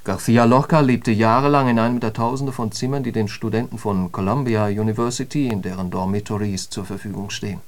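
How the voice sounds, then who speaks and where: A man's voice: on the low side, fairly even intonation, a little fast, hardly any pauses, lightly acted. Man acting eloquent, polemical intellectual, declamatory. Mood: fervent. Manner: rhetorical, declamatory, emphatic. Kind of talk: literary radio drama monologue.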